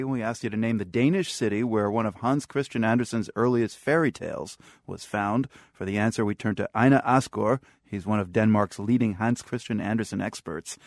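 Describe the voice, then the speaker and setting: A man's voice: on the low side, darkish tone, no echo, studio quality. A man presenting a radio magazine programme and interviewing guests as its host.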